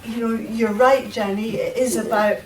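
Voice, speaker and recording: A woman speaking on a roundtable panel, telling anecdotes in a lively, enthusiastic way. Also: on the high side, normal speed, background noise. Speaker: panelist promoting salmon. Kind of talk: roundtable discussion. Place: event room. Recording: distant mic in an echoey room.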